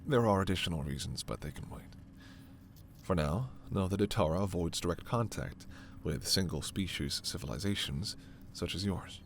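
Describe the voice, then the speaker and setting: A low-pitched alien doctor, acted by a man, speaks with measured, formal authority and gentle, protective care. It is a sci-fi ASMR roleplay, with a steady ambient noise behind the voice. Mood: grave, soothing. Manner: measured, formal, reassuring, soft-spoken asides. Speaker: voice performer.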